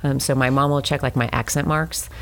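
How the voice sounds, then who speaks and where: A woman with a strikingly low voice talks casually about her writing life. She rambles warmly through fillers and false starts, the way people do on an easygoing interview show. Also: steady tone, fairly quick. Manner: chatty, many fillers and restarts, laughs often. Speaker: romance author guest.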